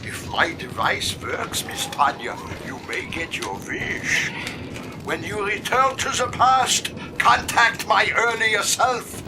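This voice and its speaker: An actor playing a measured, deliberate scientist in a video game cutscene. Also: male voice, high-pitched, background noise. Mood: grave hope. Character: slow, deliberate male scientist with a time device.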